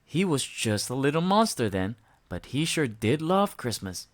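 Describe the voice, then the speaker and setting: A woman reading a children's storybook aloud with expressive narration. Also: a bass voice, moderate pace.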